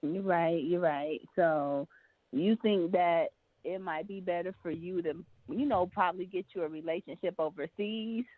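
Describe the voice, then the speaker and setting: A woman's voice: lowish voice, moderate pace, dry recording. A woman playing along in an improvised role play, skeptical and full of questions. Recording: dry phone-like line.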